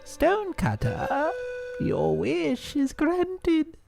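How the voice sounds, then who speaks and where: A man voicing a gleeful magic spirit from a folk tale, whispering slowly as it grants wishes. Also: very high-pitched, wildly swooping pitch, vocal fry, a little mellow, faint music behind, voice pushed up, heavily acted. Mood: gleeful, enchanting. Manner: gleeful, drawn-out.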